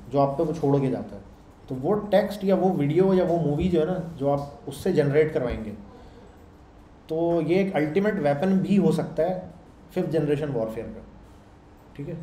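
A man's voice: on the high side, mellow. Man lecturing in an echoey room. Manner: conversational, explains with checks like "samjh rahe ho" and "theek hai". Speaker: programming instructor. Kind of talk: casual classroom lecture. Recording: echoey room with faint background hum.

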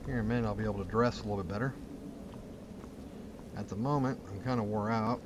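A man hosts a gaming video in a fairly low voice. He comments casually on his play in a plain, folksy way. Game ambience is clearly audible behind him. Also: quick pace. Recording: clear background game noise.

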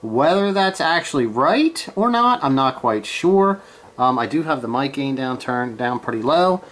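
A man giving a casual, hands-on gear demonstration, halting and unscripted as he thinks aloud from behind the camera in a room at home. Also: mid-range voice, very animated, fairly bright tone. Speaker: amateur radio hobbyist, presenter. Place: small room at home, indoors.

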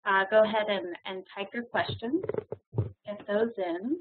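A woman's voice: medium pitch, unhurried, noisy background. A woman moderating a webinar question session, speaking with frequent ums and uhs. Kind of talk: hosting a webinar Q&A. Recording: tinny phone-like webinar audio.

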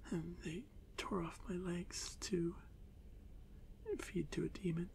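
A man voicing a shy, haunted drider monster boy in an ASMR fantasy roleplay, stammering softly and often slipping into breathy whispers. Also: sits high, flat delivery, takes it slowly, slight background noise, exaggerated voice. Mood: anguished. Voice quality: soft, breathy, creaky.

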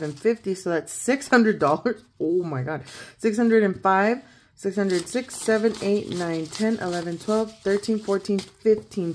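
A woman in her thirties with a croaky morning voice, chatting casually at a home desk and counting money aloud as she fills in savings challenges. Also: mid-range voice, slight background noise. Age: 30s. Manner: chatty, counts out loud, laughs easily. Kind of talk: casual chat while counting cash.

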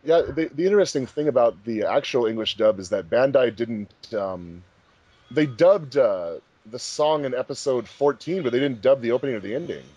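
A man's voice: mid-range voice, lively intonation, normal speed, mellow, no room sound, muffled recording. A man hosting a casual group commentary track, talking informally as the director of a fan project. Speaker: host, fandub director.

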